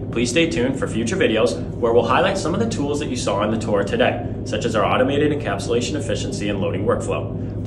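A man in his thirties, a business development director, presenting a scripted laboratory tour in a steady, formal manner and reading technical terms evenly. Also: medium pitch, heavy background noise.

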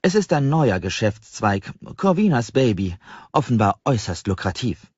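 A man's voice: medium pitch, sing-song, moderate pace, a little mellow, slightly rough, pitched above the performer's own voice. A man voicing a cheerful, welcoming doorkeeper of a secret library in an audiobook, friendly, amused and eager to explain. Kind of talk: audiobook character voice. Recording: slightly dull, roomy audiobook recording.

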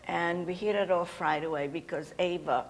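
A gravelly older woman with a lowish voice hosts a chatty studio show. Hungarian is her first language, she laughs easily, and a faint hiss sits under her lapel mic. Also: moderate pace, rough recording. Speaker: host. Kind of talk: casual interview chat. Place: TV studio set.

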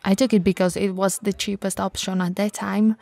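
A woman playing a tall, friendly, teasing bento shop owner in an intimate ASMR roleplay, with a breathy voice and a casual, chatty manner. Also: medium pitch, flat delivery, brisk, a little mellow, no echo. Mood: relaxed, chatty. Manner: casual, playful, hesitant and chatty.

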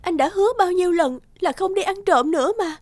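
Very high, distraught mother. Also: brisk, a little hiss or hum. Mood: reproachful. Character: distraught, careworn mother, scolding and anxious. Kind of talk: cartoon voice acting. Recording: music bed behind the voice.

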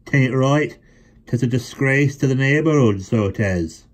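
A man voicing a quiet, dry-humoured boy who is a younger brother. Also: medium pitch, a little slow, voice pushed up, close to an everyday voice.

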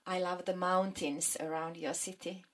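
A woman's voice: on the low side, level tone, moderate pace. A woman from Finland with a Finnish accent, a class teacher answering pupils' questions into a handheld mic in a classroom. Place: school classroom, indoors.

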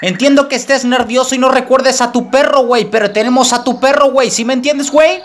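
A man's voice: very high-pitched, fairly even intonation, a little fast, a bit bright, a little hiss or hum, voice pushed up. A man voicing a crude, menacing Mexican extortion caller in a comedy prank-call sketch, with a put-on Mexican accent. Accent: Mexican Spanish (put on). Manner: threatening, swearing, mock-tough.

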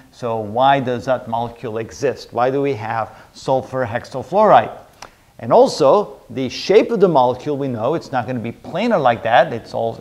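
A man's voice: mid-range voice, darkish tone. Middle-aged man giving a step-by-step lecture. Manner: explanatory, step by step, repeats phrases. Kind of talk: chalk-talk chemistry lecture at a whiteboard. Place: indoor room with a whiteboard.

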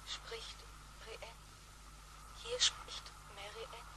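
An actress playing a detached computer assistant in a sci-fi radio play, clipped and halting over a weak radio link. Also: female voice, on the high side, big pitch swings, bright, forceful tone, exaggerated voice. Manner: clipped, telegraphic. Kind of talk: sci-fi radio drama acting. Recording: noisy, clicking radio link.

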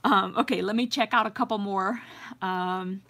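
A woman in her forties chats to her viewers from a home craft room, her voice clear and bright. She rambles along, full of ums and quick giggles, in an easy, unscripted way. The sound comes from a desk webcam. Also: medium pitch, steady tone. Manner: rambling, chatty, many fillers and giggles. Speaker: YouTube livestream host. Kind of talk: casual live-stream chat with viewers.

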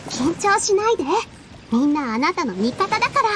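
A sweet young dancer in an anime game. Her voice is very high and lilting, girlish and polite, with a kind, encouraging way of talking. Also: big pitch swings, brisk, a little hiss or hum. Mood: gentle encouragement. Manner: soft-hearted, polite, girlish. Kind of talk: Japanese anime game dub.

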